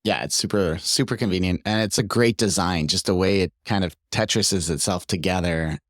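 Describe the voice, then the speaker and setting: A man hosts a casual podcast interview in a fairly low voice. He sounds informal and upbeat, pausing and hesitating as he phrases things. The sound is dry, with no room to it. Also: no echo. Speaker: podcast host.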